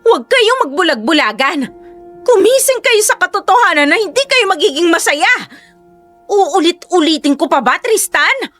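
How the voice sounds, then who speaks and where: A scornful, bigoted mother, played by a woman in a radio drama, high and shrill, her voice leaping up and down as she lashes out. She speaks with open contempt, over faint background music. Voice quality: shrill, contemptuous, shouting tirades. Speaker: mother of Albert.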